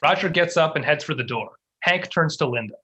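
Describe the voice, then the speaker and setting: A man's voice: on the high side, lively intonation, brisk, noisy background. A man reading scene headings and stage directions as scripted narration for a table read.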